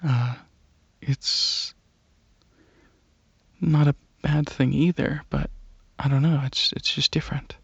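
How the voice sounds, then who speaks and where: A man voices a shy alien dragon, gentle and curious, in a soft ASMR roleplay. The delivery is hesitant and earnest, with a fairly flat melody and a husky, breathy grain. The recording is very dry and close, a little muffled. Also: on the high side, no room sound, exaggerated voice. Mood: reflective. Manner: hesitant, halting, softly earnest.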